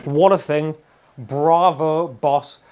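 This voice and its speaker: High-pitched, excitable male guitar reviewer. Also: slow pace. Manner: excitable, informal. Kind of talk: enthusiastic casual product demo. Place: indoor guitar studio room. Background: electric guitar playing.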